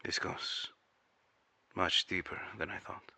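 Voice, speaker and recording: A man's voice: slow, sharp tone, no room sound. A man playing a serious, controlled agency interrogator taking and recording testimony in a clipped, official way in an audio drama. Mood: stunned.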